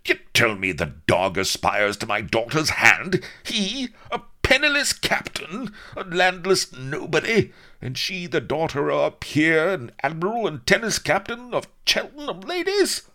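Storybook admiral: old, massive, rumbling, hot-tempered, leaping from growl to bellow in wild swoops. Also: male voice, wildly swooping pitch, slow pace, sharp tone, exaggerated voice. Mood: outraged bellowing. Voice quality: rumbling, roaring. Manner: archaic salty oaths, pompous bluster.

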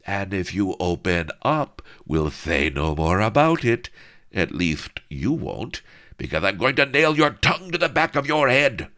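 A man's voice: mid-range voice, lively intonation, takes it slowly, pitched above the performer's own voice. A man voicing a huge, hot-tempered armored nobleman who bellows. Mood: raging. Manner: bellowing, loud.

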